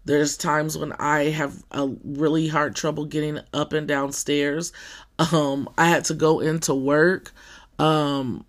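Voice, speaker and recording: Podcast host, scratchy and somewhat deep, halting conversational delivery. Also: little pitch movement. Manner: halting, with many fillers and restarts. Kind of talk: casual personal monologue.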